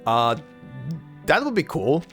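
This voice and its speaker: Halting Croatian man, mid-Atlantic accent. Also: on the high side, very animated, fairly bright tone, faint music behind. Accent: mix of American and British English, slight German tinge. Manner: halting, many fillers and repeated words. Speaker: let's play host. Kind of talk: casual chat to viewers.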